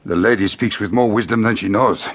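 A man plays a grave, formal gentleman in a radio mystery. His voice is very deep and his phrasing measured and stilted, over faint noise. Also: lightly acted. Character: grave, formal gentleman guest pronouncing a death. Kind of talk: radio drama acting.

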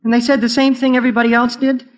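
A man's voice: very high-pitched, level tone, racing. A man playing a worrying, indignant American teenage boy with a plaintive whine in a 1940s radio comedy. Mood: worried, complaining. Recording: dull, echoey vintage radio recording.

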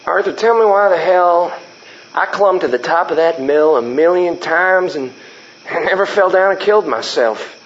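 An actor playing a slow, gentle ballplayer who is dying, in plain, halting country talk. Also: male voice, high-pitched, highs cut off, a little hiss or hum. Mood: musing. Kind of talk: radio drama acting.

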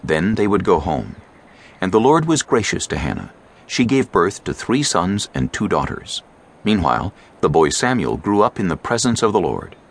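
A man with a deep voice narrates scripture from a script. His delivery is measured storytelling with animated, wide-ranging intonation. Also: slightly rough.

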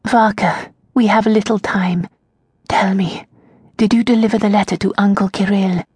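A woman voicing a young noblewoman prisoner awaiting execution, who speaks in a hushed, detached whisper. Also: mid-range voice, fairly even intonation, normal speed, breathy edge, no echo. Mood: urgent.

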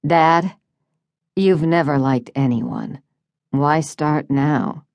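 A woman's voice: low voice, drawn-out words, darkish tone, no echo, heavily acted. A woman voicing a wealthy, impatient grown son with a curt manner in an audiobook. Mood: softer, placating. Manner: curt, exasperated.

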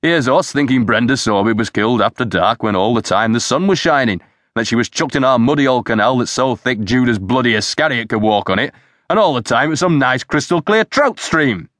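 A man's voice: lowish voice, quick pace, a bit bright, no echo, studio quality, natural voice. A man voicing a fat, sarcastic, blustering senior police detective in an audiobook. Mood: scornful, sarcastic. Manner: bellowing, sarcastic, coarse. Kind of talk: audiobook character dialogue.

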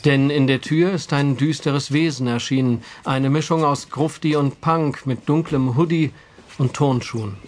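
A man narrating a scripted audio drama in a wry, measured storytelling manner. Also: medium pitch, slight background noise.

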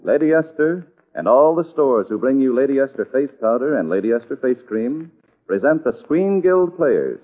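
A man's voice: medium pitch, very animated, normal speed. A man announcing in a formal, scripted 1940s radio style. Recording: narrow old radio broadcast, faint music.

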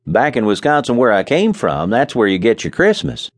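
A man's voice: medium pitch, sing-song, normal speed, dry recording, studio quality, voice pushed up. A man voicing a blunt, grumbling rural farmer with folksy speech.

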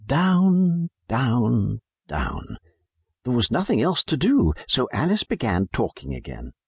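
A man narrating a children's storybook as a scripted audiobook, in an expressive storytelling manner. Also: medium pitch, slow pace, slightly rough, dry recording, studio quality.

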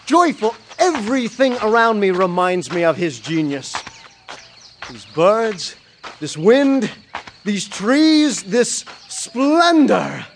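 An actor playing a poor, joyful young German musician in an audio drama, rapturous and declamatory. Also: male voice, sits high, sing-song, slow, slight background noise, full character voice. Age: young adult. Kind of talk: dramatized audio play acting.

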